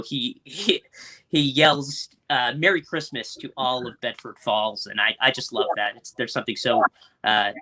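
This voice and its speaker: A man's voice: medium pitch, pushed and bright, rough recording. A young man talking casually and thoughtfully as a panelist on a video call from home. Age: young adult.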